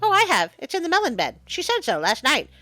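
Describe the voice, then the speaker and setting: Casting: scatterbrained small male bird for a storybook woman performing, high-pitched, exuberant, singsong, music behind. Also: huge pitch swings, fairly quick, sharp tone, exaggerated voice. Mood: eager, chirpy. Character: cheerful feather-brained little male singing bird.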